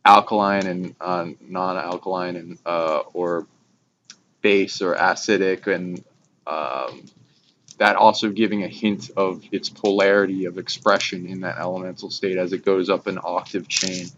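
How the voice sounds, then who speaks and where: A man talking casually and haltingly, rambling through abstract esoteric ideas in long trailing phrases. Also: sits low, steady tone, a bit bright. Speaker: speaker on esoteric topics. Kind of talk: casual rambling spiritual discussion. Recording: dull lo-fi recording with clear background noise.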